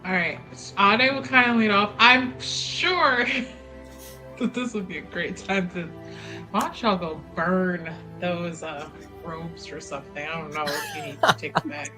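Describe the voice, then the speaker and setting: Female player, animated and jokey, swinging pitch, clear music bed. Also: unhurried, poor audio. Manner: animated, joking, laughs often. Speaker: tabletop game player. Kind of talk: casual chat while playing.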